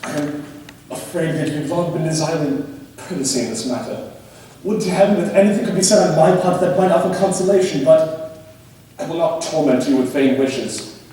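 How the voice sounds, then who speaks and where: A man on stage playing a reserved, courteous young gentleman with stiff, grave formality. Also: fairly high pitch, lively intonation, normal speed, close to an everyday voice. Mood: grave concern. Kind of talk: amateur stage play acting. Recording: distant echoey hall, faint noise.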